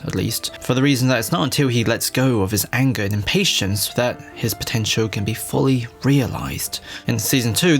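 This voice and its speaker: A man's voice: medium pitch, gravelly. A man reading a scripted video essay in an irreverent, casually profane manner. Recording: faint music under narration. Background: soft background music.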